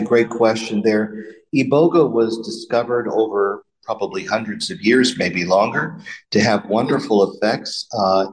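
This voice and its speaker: A man answering interview questions, explaining drug chemistry in a measured, methodical way. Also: on the low side, rough recording. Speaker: pharmaceutical company executive.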